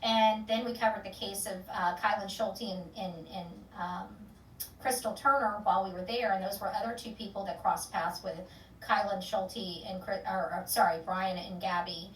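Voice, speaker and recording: A woman's voice: medium pitch, fairly even intonation, moderate pace, roomy sound, recorded from a distance, a little hiss or hum, poor audio. A woman hosting an online true-crime channel, rambling casually with many fillers and restarts before an upbeat sign-off. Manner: loud, upbeat sign-off catchphrases. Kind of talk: casual chat, sign-off to viewers.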